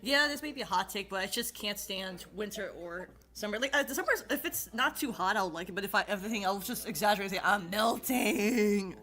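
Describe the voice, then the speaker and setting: A woman's voice: medium pitch, brisk, few pauses, a bit bright. Giggly woman chatting on a call. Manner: giggly, rambling, swears freely. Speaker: channel host. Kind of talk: casual chat with friends.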